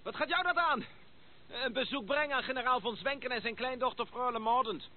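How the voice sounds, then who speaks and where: An actor playing a courteous, formal gentleman visitor with a slightly hesitant manner in a Dutch radio play. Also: very high voice, a little fast. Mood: indignant, raised voice. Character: polite, slightly flustered gentleman visitor, lost on the way. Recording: tinny band-limited old radio play recording, noisy.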